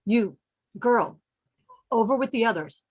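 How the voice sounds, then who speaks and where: Actress as tough female leader in a horror script: commanding, wary, husky, animated pitch. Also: a little slow. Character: commanding, wary armed woman leading a group. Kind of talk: film script table read.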